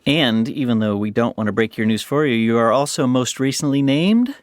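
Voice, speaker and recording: A man's voice: mid-range voice, animated, brisk, no room sound. A man with a mid-range voice co-hosts a podcast, upbeat and playful. The sound is close and dry. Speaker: podcast co-host. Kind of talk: conversational podcast interview.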